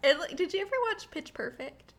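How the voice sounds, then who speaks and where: A woman's voice: high voice, expressive, brisk, fairly bright tone. A young woman co-hosting a casual podcast, chatty and giggly, with lots of "like" in her speech. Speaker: podcast co-host. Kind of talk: casual chat.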